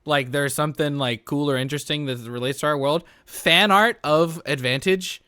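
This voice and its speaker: A man with a bright, forceful voice chats as a podcast host. His pitch sits a little high and the sound is close and dry. He is slangy, enthusiastic and swears freely. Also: normal speed, clean tone, dry recording. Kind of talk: casual chat.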